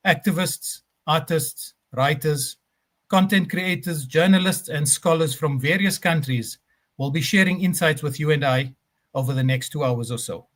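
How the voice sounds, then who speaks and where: A man's voice: fairly high pitch, moderate pace, a bit bright. A man hosting a live stream, who opens with a scripted speech built on repeated phrases and then talks more loosely with fillers as he welcomes a guest. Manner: rhetorical, building lists with repeated phrases; fillers and uh, um in unscripted talk.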